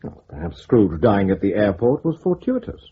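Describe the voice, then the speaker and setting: An actor playing an unruffled senior spymaster in a radio drama, reasoning with calm authority. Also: male voice, on the low side, normal speed, breathy edge. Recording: dull lo-fi recording.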